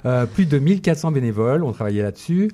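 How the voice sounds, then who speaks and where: A man's voice: mid-range voice, very animated, quick pace, warm tone. A man presenting a guest on a radio culture show, enthusiastic and upbeat. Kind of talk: radio chat.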